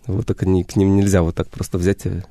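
A man, a history educator, answering questions on a radio show in an unhurried way, often searching for words. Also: low-pitched, monotone, mellow, no room sound, studio quality. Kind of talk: radio interview. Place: radio studio.

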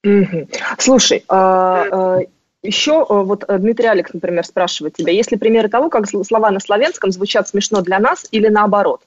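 A podcast host interviewing a guest and relaying listener questions with relaxed curiosity. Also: medium pitch, brisk, no echo. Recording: dry, muffled lo-fi audio.

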